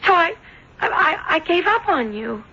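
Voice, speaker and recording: An actress playing a tender, torn young small-town sweetheart, with hesitant, emotional delivery in radio drama style. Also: female voice, extremely high pitch, sing-song, normal speed, pushed and bright, heavily acted. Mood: hurt, defensive. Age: young adult. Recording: dull old radio broadcast.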